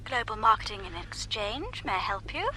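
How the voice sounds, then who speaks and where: Polite brisk receptionist, high voice, loud music underneath, radio drama. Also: female voice, big pitch swings. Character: brisk, polite female office switchboard operator. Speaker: switchboard operator, secretary. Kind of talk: British radio drama acting.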